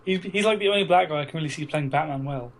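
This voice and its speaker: A young man co-hosting a casual film podcast, chatting in a halting way and repeating words as he goes. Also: fairly high pitch. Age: young adult. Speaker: podcast co-host. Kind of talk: casual chat about films. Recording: noisy amateur podcast recording.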